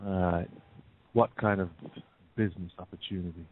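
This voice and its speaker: Very deep, bitter small-time crook played by an actor. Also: male voice, steady tone, normal speed. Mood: weary, wary. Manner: world-weary, rough streetwise talk. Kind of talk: noir stage-play acting. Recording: tinny phone-line audio.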